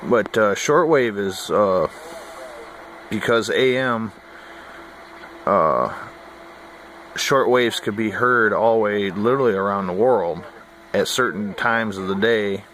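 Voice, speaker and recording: American guy w low voice just rambling casually bout his hobby, folksy n laid back, lots of noise behind him. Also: slow, long pauses, a bit bright. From United States. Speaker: hobbyist vlogger. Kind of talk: casual rambling chat to camera. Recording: noisy amateur camera audio.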